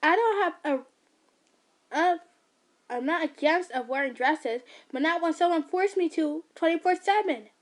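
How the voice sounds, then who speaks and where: A woman's voice: extremely high pitch, expressive, measured pace, voice pushed up. A woman voicing an outraged teenage boy. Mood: furious. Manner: shouting, indignant. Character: angry teenage boy, fed up and defiant.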